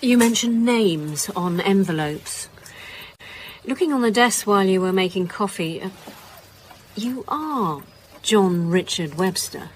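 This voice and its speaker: An actress playing a brisk, sceptical detective inspector in a radio drama, British accent, probing and dryly insistent. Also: female voice, medium pitch, animated, a little hiss or hum. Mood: firm, official. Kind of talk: naturalistic radio drama acting.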